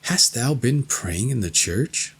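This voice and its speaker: Man reading as an earnest, gravelly storybook king. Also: medium pitch, lively intonation, slow pace, exaggerated voice. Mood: solemn. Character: devout, devoted king of fairy tale.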